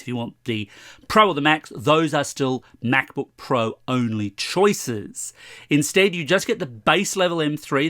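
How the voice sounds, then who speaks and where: A slightly hoarse man in his forties giving a chatty, wry tech review to camera from a room at home. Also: medium pitch, a bit bright, no echo, studio quality. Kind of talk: casual product review to camera.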